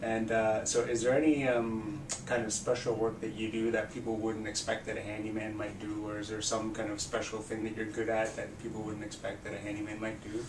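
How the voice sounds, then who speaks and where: Man, interviewer, flat delivery, frequent affirmations, distant roomy recording. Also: fairly quick, few pauses. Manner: friendly, with short affirmations. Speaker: interviewer, business group member. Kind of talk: casual interview. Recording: roomy, distant mic, background rumble.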